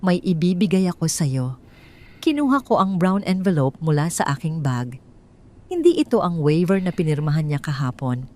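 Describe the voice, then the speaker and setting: Low-set woman narrator, measured first-person drama storytelling. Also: dark tone.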